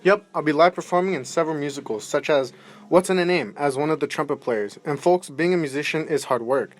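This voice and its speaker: A man's voice: fairly high pitch, fairly quick, natural voice. A man playing a polite, enthusiastic aspiring musician in a 1920s radio interview. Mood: earnest. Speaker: musician guest. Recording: noisy amateur recording.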